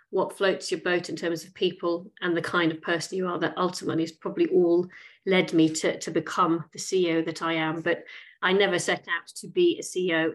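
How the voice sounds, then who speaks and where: Lower-voiced woman in her forties, reflective. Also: poor audio. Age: 40s. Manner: thoughtful, steady, with frequent fillers and restarts. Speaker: guest, chief executive. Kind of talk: reflective interview answers.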